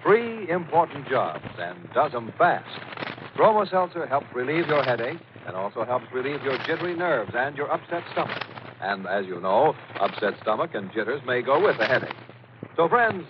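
A man's voice: medium pitch, big pitch swings, normal speed. A man reading a scripted 1940s radio commercial as its announcer. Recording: muffled, noisy old radio recording.

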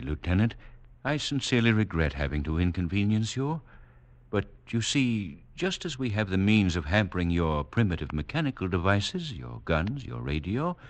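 A low-voiced, superior gentleman villain of vintage radio drama. He speaks with formal, measured courtesy, his pitch gliding widely as he condescends to those beneath him. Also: big pitch swings. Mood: soothing, apologetic. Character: courteous, coolly superior male leader of a hidden underground people. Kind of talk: 1940s radio drama acting.

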